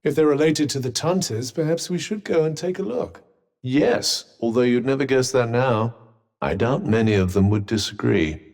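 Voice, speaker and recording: A man's voice: medium pitch, animated, normal speed, darkish tone, in a large hall, natural voice. A British man voicing a witty, haughty magical talking vambrace in a video game, with pompous, droll and sardonic delivery. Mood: measured, wry. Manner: pompous, droll, erudite wording. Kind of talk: video game character dialogue.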